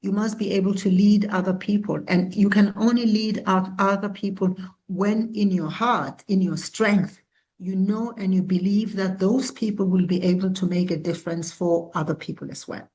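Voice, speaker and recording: A woman in her sixties from South Africa, a founder answering interview questions in an earnest, measured way. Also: mid-range voice, steady tone, a little mellow, rough recording. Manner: earnest, measured, with small restarts. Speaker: guest, founder and CEO.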